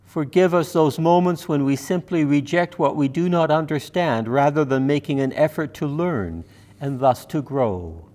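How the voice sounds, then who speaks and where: A man in his seventies reading liturgy and prayers as a minister in a measured, formal, reverent manner. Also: on the high side, a little mellow. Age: 70s. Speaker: minister leading worship. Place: church sanctuary, indoors.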